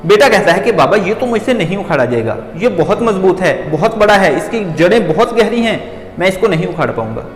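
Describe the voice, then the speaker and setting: A man's voice: high-pitched, very animated, fairly quick. A man narrating moral stories as a motivational storyteller, speaking emphatically and repeating phrases for effect. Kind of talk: scripted moral storytelling narration, addressing listeners as friends. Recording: echoey long reverb with music behind. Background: music.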